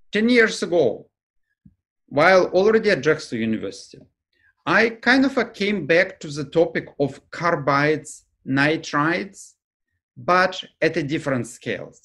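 A middle-aged man from Ukraine with a Ukrainian accent, giving a remote academic lecture over slides on a webcam, fluent but dropping articles and pausing with "uh". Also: a little high, creaky, a bit bright. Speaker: professor and lecturer. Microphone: video call webcam.

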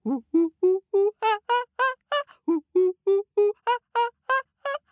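A woman's voice: extremely high pitch, expressive, moderate pace, dry recording, muffled recording, soft background music, voice pushed up. A woman voicing a greedy, curious little male monkey who hoots and stammers in a storybook tale. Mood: hooting. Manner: hooting and stammering, squealing with delight.

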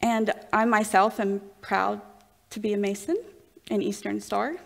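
A young woman with a Southern US drawl lectures from a lectern in a large dark hall, speaking into the lectern microphone. She talks earnestly and eagerly, with pauses and fillers as she walks through her slides. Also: mid-range voice, level tone, gravelly. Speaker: conference lecturer.

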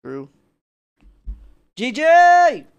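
A man's voice: very high-pitched, huge pitch swings, very slow, dull audio, slight background noise. A man streaming video games, chatting casually with a slangy, playfully pleading manner and some swearing. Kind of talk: casual gaming chat. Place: indoor room at home.

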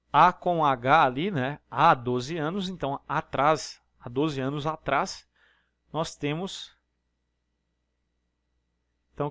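A man with a Brazilian accent works through exam problems one step at a time, often checking that the listener is following. His voice sits a little high. The recording is dry and close, with no room sound. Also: a bit bright, no room sound. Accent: Brazilian Portuguese. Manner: explanatory, step-by-step, frequent tag questions. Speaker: math teacher. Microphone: voice-over on screen recording.

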